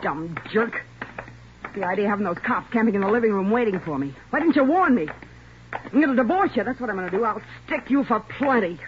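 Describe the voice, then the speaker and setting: An actress playing a brassy, hot-tempered American crook's wife who nags and scorns in 1940s radio crime drama style. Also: female voice, mid-range voice, animated, fast, natural voice. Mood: scornful, furious. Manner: nagging, scornful, sharp-tongued. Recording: noisy, muffled 1940s radio recording.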